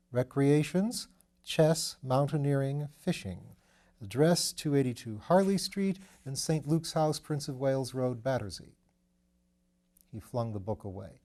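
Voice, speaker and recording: A man's voice: on the high side, mellow. A man reading a novel aloud as scripted literary narration. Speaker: audiobook reader.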